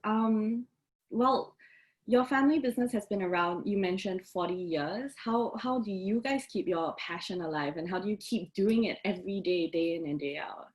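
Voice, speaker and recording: A woman's voice: medium pitch, moderate pace. A woman hosting and moderating a casual interview, introducing guests and asking questions. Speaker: host, moderator.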